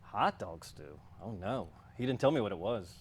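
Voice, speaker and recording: A man's voice: lowish voice, very animated, moderate pace, breathy edge, full character voice. A man playing an easygoing 1950s husband with a dry, joking manner in a staged play reading. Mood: wry, easygoing. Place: children's theatre stage.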